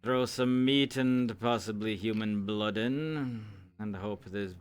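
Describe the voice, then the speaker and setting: Man acting slow, formal, smooth-voiced game townsman. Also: lowish voice, soft background music. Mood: formal, businesslike. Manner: formal, deliberate, addresses people by title and name. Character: formal, measured townsman organizing a werewolf hunt. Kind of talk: improvised in-character roleplay in a social VR game.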